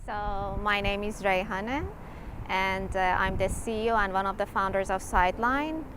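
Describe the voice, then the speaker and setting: A young woman introducing her startup, soft-spoken and full of fillers. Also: medium pitch, normal speed, over music. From Iran. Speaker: CEO of a startup. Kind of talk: casual self-introduction.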